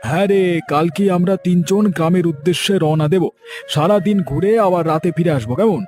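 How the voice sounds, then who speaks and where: A genial cartoon dad played by a man, indulgent and reassuring. His voice sits a bit high and hearty, over faint music. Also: brisk, a little mellow, lightly acted. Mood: cheerful, reassuring. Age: adult. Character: genial, indulgent husband, businessman and father. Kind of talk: cartoon voice acting.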